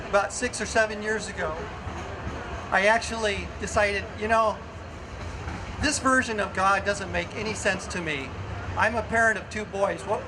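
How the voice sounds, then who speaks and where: An older man speaks earnestly at a higher pitch than most men. He talks in measured phrases and often repeats himself. Traffic noise from an outdoor street setting is clearly heard behind him. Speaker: festival speaker. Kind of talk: outdoor public speech.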